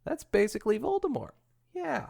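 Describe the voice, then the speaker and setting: A man chats casually as a podcast co-host during a story reading. His delivery is animated, with plenty of creak and a rough, husky tone. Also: very high-pitched, normal speed.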